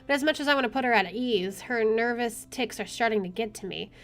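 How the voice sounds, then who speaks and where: A woman's voice: a little high, fairly quick, a bit bright. A woman reading visual novel narration aloud as a game streamer, informally, with offhand asides. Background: game music.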